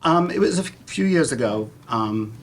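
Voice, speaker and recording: A man of middling pitch speaks unscripted on a panel, with some room noise around him. His delivery is halting, with words repeated as he recounts personal experience. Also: lively intonation. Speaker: panelist, community theater actor. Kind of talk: personal anecdote in panel discussion. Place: public panel event, live audience. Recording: clear background room noise.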